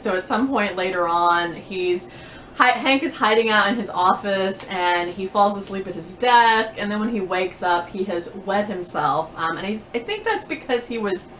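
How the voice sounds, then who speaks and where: A woman's voice: medium pitch, a bit bright. Female book vlogger, conversational and chatty, roomy sound with faint background noise. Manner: chatty, laughs easily. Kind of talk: casual chat to camera answering tag questions. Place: bedroom indoors.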